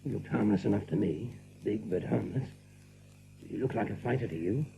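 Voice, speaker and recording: An actor playing a plain-spoken, easygoing rabbit companion in an audio drama. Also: male voice, fairly low pitch, animated, normal speed, creaky, over music. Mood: dismissive. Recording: thin phone-like old tape, hiss.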